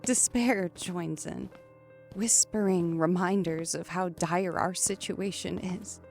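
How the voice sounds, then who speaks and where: An actress as an anxious, trapped woman in a horror audio drama. She speaks at a slow, haunted pace, with music underneath her fearful words. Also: on the low side. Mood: brooding dread. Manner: stammering and pleading when scared, hushed and measured when narrating. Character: frightened young woman trapped with a stranger, anxious narrator. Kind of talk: horror audio drama, dialogue and first-person narration.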